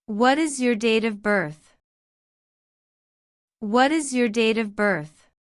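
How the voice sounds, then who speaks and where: Slow, clear woman reading practice questions. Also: a little high, no room sound, clean studio sound. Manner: reads each line twice, slow and deliberate. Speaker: citizenship test practice narrator. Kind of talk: slow repeated question-and-answer drill.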